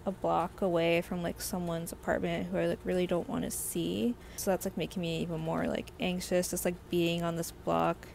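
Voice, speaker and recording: A young woman, slightly hoarse, telling a rambling casual storytime full of "like" fillers. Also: lowish voice, level tone, moderate pace, hardly any pauses, a little mellow, slight background noise. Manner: rambling, heavy use of "like" fillers. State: slightly hoarse from a sore throat. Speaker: vlogger.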